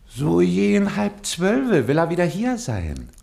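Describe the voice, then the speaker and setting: An actor playing an obsequious, dutiful clerk to a Prussian official, reporting formally and servilely in a German radio play. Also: male voice, high-pitched, wildly swooping pitch, slow pace, gravelly, a little mellow, exaggerated voice.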